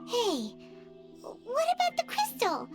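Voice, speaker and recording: A tiny fairy sidekick for a fantasy game, squeaky and extremely high. She is naive, chatty and childishly blunt, with music behind her. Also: wildly swooping pitch, slow, heavily acted. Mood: urging. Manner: blunt, childlike, refers to self by name.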